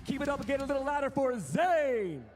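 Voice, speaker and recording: A man working as a tournament stage announcer, rallying a crowd and shouting out drawn-out names. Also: extremely high pitch, big pitch swings. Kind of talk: hype introductions to a live audience. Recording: loud music over the voice. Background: loud music.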